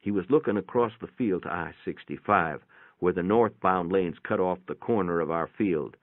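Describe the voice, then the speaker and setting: A low-pitched male narrator reads a first-person story in a folksy, conversational way. The recording is narrow and radio-like, and dry, with very little room around the voice. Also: moderate pace, dry recording. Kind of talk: dramatic reading of a first-person short story. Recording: thin, band-limited, radio-like sound.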